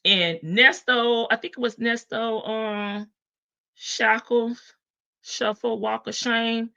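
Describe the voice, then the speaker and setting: Female livestream host, unhurried, playful gossip delivery, frequent laughs. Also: a little high, fairly even intonation, creaky, pushed and bright, dull audio. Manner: chatty and playful, laughs often, greets viewers by name. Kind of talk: casual gossip commentary on a court case.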